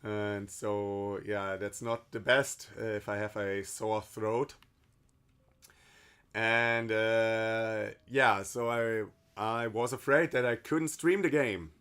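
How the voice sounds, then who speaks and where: Hoarse man, low voice, slow unhurried talk, casual gameplay commentary. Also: steady tone, a bit bright. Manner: loose, rambling, swears and laughs. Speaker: streamer. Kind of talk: casual let's-play commentary.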